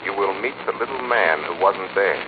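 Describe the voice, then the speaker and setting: An actor playing a mysterious invisible crime fighter who speaks in a measured, formal, commanding way in a 1940s radio mystery. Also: male voice, low-pitched, lively intonation. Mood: ominous, taunting. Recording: muffled, noisy 1940s radio recording.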